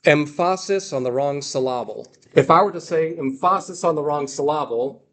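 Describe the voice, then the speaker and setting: A man's voice: fairly high pitch, moderate pace. A man giving an informal classroom lecture as a language teacher, reassuring, repeating key points and often checking with "okay" or "right.".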